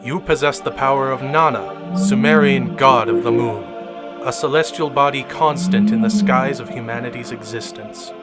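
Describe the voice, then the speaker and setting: A man's voice: mid-range voice, moderate pace, over loud music, natural voice. Man playing an impatient, grave ancient cosmic mentor. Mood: grand, solemn. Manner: formal, solemn, portentous. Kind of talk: audio drama acting.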